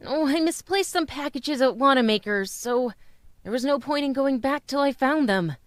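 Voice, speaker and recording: A woman playing a brisk, good-humored, warm-hearted young mother in an old-time radio romantic comedy. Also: high-pitched, lively intonation, dry recording, studio quality. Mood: affectionate. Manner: polite, teasing, brisk.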